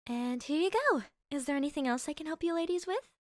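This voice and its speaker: A woman's voice: high-pitched, animated, quick pace, no echo. A woman playing a polite, chatty cashier in a roleplay audio, perky and accommodating with customer-service courtesy. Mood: cheerful. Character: polite, chatty young female shop cashier.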